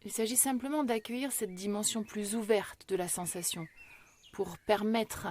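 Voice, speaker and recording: Calm, slow-speaking woman guiding meditation. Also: medium pitch, a little hiss or hum. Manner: slow, measured delivery with long pauses; calm, soothing, gentle. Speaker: yoga nidra meditation guide. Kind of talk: guided relaxation meditation.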